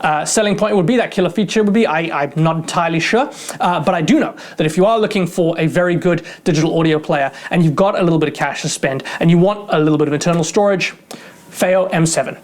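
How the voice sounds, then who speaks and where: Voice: medium pitch, fast, a little mellow. A gear reviewer giving a casual product review in a chatty, rambling way, with self-deprecating humour.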